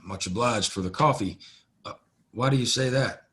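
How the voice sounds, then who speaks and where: A man's voice: fairly low pitch, moderate pace, heavy background noise, close to an everyday voice. A man playing a polite, modest cowboy stranger in a western audio play, courteous and understated. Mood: measured.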